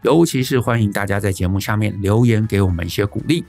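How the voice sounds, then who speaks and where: A man's voice: lowish voice, fast, gravelly, darkish tone, soft background music. A male podcast host with a Taiwanese Mandarin accent talks through advice conversationally, repeating key phrases for emphasis and answering his own questions.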